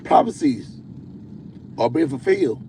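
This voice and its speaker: A man with a deep voice preaching on a livestream. He reads scripture slowly, stumbling and repeating words. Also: animated, warm tone, a little hiss or hum, rough recording. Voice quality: self-described deep voice. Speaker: self-styled prophet hosting a livestream.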